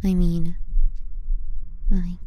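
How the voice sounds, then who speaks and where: A woman's voice: lowish voice, completely flat delivery, very slow, dark tone. A woman playing a possessive, scornful younger sister in an intimate ASMR roleplay, murmuring breathily and languidly. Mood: needy, possessive. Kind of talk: intimate ASMR roleplay, slow and partly whispered.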